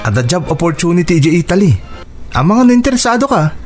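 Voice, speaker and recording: A man's voice: high-pitched, sing-song, fast talker, faint music behind. A man with an Ilocano accent playing a helpful, persuasive older cousin in a radio drama, friendly and encouraging.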